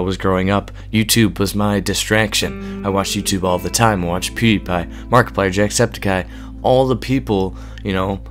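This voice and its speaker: A man in his twenties with a hoarse voice, monotone and rambling, talking earnestly and unscripted to his viewers. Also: lowish voice. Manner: rambling, earnest, many ums. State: hoarse, voice going from illness. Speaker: gaming YouTuber. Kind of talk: unscripted casual talk to camera.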